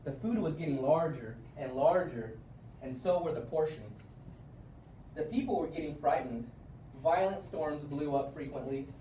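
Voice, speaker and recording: A man reading a children's storybook aloud in an expressive way with emphatic word stress, recorded at a distance in a room. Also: fairly high pitch, normal speed. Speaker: storybook reader. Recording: roomy, distant mic with faint noise.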